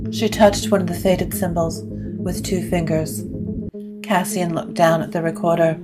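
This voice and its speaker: Slow, lowish female gothic narrator. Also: steady tone, loud music behind the voice. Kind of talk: scripted gothic story narration.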